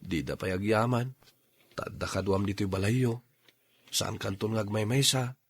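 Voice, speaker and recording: Low, husky Ilocano suitor played by a man. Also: measured pace, no echo. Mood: coaxing. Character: earnest male suitor courting a middle-aged woman. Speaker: radio drama host and actor. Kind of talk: Ilocano radio drama acting.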